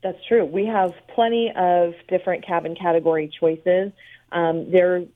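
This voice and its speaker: A woman with a lowish voice pitches cruise trips in an upbeat, fluent way. She is heard over a tinny phone line. The sound is dry, with no room around it. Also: fairly even intonation, normal speed, no echo. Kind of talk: promotional interview talk.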